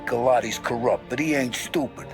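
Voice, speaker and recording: An actor playing a blunt, streetwise mob advisor laying out a hit in a game cutscene. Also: male voice, medium pitch, normal speed, lightly acted. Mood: matter-of-fact. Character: blunt male mob consigliere planning a hit. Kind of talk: video game cutscene acting. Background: background music.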